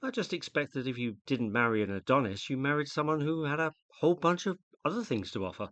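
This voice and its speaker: An actor plays a British suitor in a radio play, polite and flattering but always probing. His hesitant delivery has lively intonation and a mid-range pitch, recorded dry. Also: fast talker, no echo, natural voice. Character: tentative, flattering, inquisitive male suitor of a widow.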